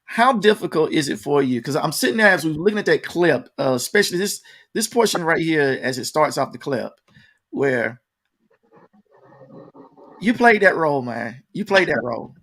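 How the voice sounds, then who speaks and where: Higher-voiced American man interviewing casually. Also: lively intonation, moderate pace, a bit bright. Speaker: interviewer, host.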